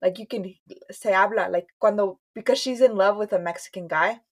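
A woman co-hosts a podcast in relaxed, conversational chat, sliding between English and Spanish. She pauses now and then on hesitant fillers. Her voice sits at an ordinary middle pitch. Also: slightly rough. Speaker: podcast co-host.

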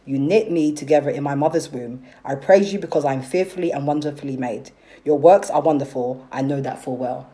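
A woman's voice: low voice, a little fast, dark tone. A woman with a London accent in casual conversation, speaking expressively and repeating phrases for emphasis. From South London, England, of Ghanaian family. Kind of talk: casual group chat.

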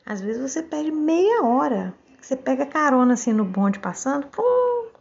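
A woman's voice: sits high, big pitch swings, moderate pace, creaky, a little mellow, dull audio. A woman with a Brazilian Portuguese accent giving a casual, reflective monologue, musing and often checking for agreement with little tag questions.

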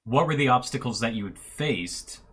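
A man's voice: mid-range voice, animated, moderate pace, a bit bright, husky. A man co-hosting a casual interview, asking long, winding questions full of restarts. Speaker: co-host.

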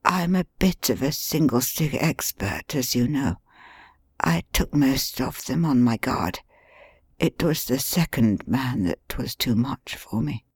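Injured detective in a weak hoarse whisper, quietly commanding, put on by a male audiobook reader; dry close sound. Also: medium pitch, lively intonation, takes it slowly, dry recording. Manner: weak muttering, urgent instructions. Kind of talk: audiobook reading.

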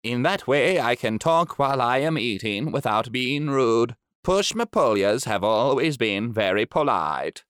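A man voicing a shy, polite two-headed deer-like animal in a storybook reading, speaking slowly and gently. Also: mid-range voice, animated, clean tone, no echo, clean studio sound. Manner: timid, slow and gentle.

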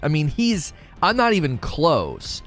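A man's voice: a little high, wildly swooping pitch, unhurried, fairly bright tone. Rambling male livestream host, music faint. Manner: rambling, restarts often, self-corrects. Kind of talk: casual livestream chat, reading chat aloud.

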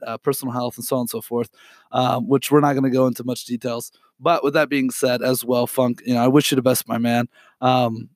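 A young man hosting a podcast alone, sick and hoarse, talking in an apologetic, rambling way with many fillers and throat clearing. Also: medium pitch, no room sound, studio quality. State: sick with a raspy, cracking voice. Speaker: podcast host. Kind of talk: unedited solo podcast update.

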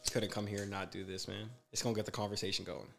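A man's voice: fairly low pitch, little pitch movement, fast, vocal fry. A man in his twenties, a guest on a casual podcast, talking in a laid-back, slangy way and growing emphatic when he makes a point. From USA. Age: 20s.

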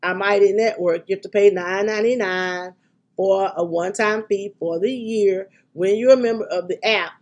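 A middle-aged woman hosting a podcast, talking in a chatty, excitable way with frequent ums, her voice congested from a cold. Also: mid-range voice, moderate pace, highs cut off. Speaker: podcast and radio host. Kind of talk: casual podcast chat.

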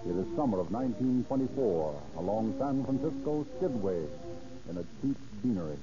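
A man's voice: on the low side, lively intonation, moderate pace, music in the background. A man narrating a scripted 1930s radio crime drama in a formal, dramatic delivery. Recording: muffled old radio recording.

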